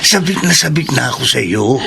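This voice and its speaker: Gravelly, leering older predator. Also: male voice, medium pitch, lively intonation, a little fast, sharp tone, slight background noise. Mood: leering, menacing. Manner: leering, insistent. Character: lecherous, menacing older male neighbourhood predator. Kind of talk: radio drama acting.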